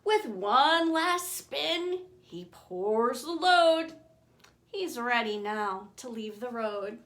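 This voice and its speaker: A woman reads a children's bedtime story in a high, lively voice. Her delivery is very slow and theatrical, shaping each rhyme with care and playing with dynamics. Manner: expressive, theatrical, swinging from booming to hushed whispers. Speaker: author reading her own picture book aloud. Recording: roomy, echoing room sound.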